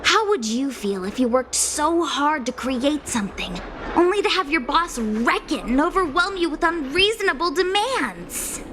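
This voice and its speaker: A high, animated, persuasive young worker. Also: female voice, moderate pace, noisy background. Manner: rhetorical, coaxing, expressive. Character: earnest, persuasive young working woman, world-weary but sympathetic. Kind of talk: video game voice acting.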